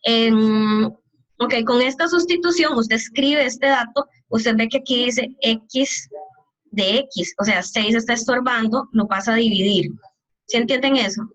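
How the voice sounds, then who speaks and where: A woman teaching calculus over a video call, her fairly high voice coming through muffled and lo-fi. She walks through each step patiently and keeps asking her students whether they follow. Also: a little slow, a bit bright. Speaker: math teacher. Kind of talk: online calculus lecture.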